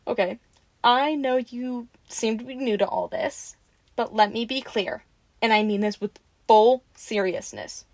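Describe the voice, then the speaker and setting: A woman's voice: a little high, unhurried, no echo. A woman playing a teasing, bossy but caring vampire in an audio roleplay, talking informally and expressively to the listener. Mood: serious, warning. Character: teasing, sassy, caring female vampire taking in a newly turned one.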